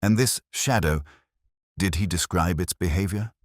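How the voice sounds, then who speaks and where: A man's voice: low-pitched, moderate pace, dry recording, clean studio sound, voice pushed up. A man voicing an incisive, cool-headed detective in an audiobook story, speaking in precise, theatrical pronouncements. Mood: measured, assured. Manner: precise, declarative, aphoristic.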